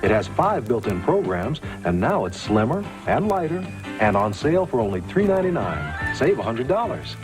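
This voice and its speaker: A man narrating a scripted commercial as an announcer, with a lively intonation. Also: medium pitch, moderate pace. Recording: old TV ad with music. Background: music.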